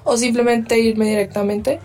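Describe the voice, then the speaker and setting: Teen girl with an even, flat delivery. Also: fairly high pitch, normal speed. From Dominican Republic. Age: teens. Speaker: student being interviewed. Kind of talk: casual interview answers. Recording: distant mic with faint music.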